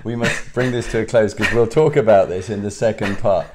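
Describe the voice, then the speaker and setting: A man's voice: lowish voice, a little fast, noisy background. A man hosting an interview podcast who encourages his guest with frequent short affirmations. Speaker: podcast host.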